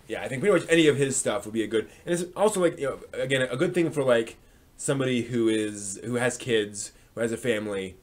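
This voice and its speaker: A man hosts a casual live stream in a mid-pitched voice. He talks off the cuff, rambling and hesitating as he thinks aloud. The delivery is conversational and unscripted. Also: quick pace. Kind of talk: casual unscripted talk to viewers.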